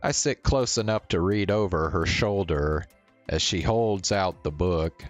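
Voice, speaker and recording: A man's voice: sits low, measured pace, faint music behind. A man streaming a visual novel, reading aloud and chatting in a casual way. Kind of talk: narrated read-aloud and casual sign-off.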